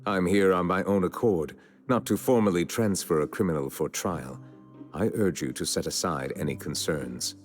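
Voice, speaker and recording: A man voicing a dignified, composed chief judge in a video game, formal, measured and courteous. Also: sits low, soft background music, close to an everyday voice. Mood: courteous, solemn. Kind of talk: video game character voice acting.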